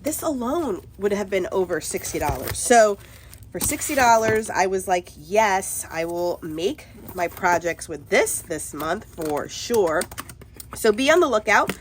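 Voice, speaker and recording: A cheerful woman at her home craft table, filmed by an overhead camera, chatting through a haul. She sounds stuffed up from a cold, and her voice is bubbly and chatty, with frequent laughter. Her pitch sits in a middle range. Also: slight background noise. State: congested from a cold. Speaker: craft vlogger. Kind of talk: casual haul video chat.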